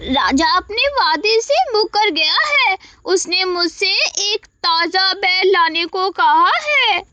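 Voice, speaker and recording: A woman voicing a sly, scheming female fox with a cunning, wheedling manner in an animated moral story. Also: very high-pitched, slow pace, no echo, dull audio, exaggerated voice.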